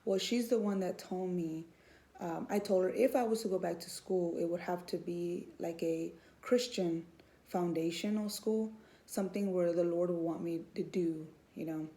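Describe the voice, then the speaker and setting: A woman tells her own faith story in a personal testimony. She speaks earnestly, pausing often with ums as she gathers her thoughts. Her voice is warm and mellow and sits slightly low. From Houston, Texas. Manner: earnest, hesitant with many ums, faith-filled. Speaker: student and mother.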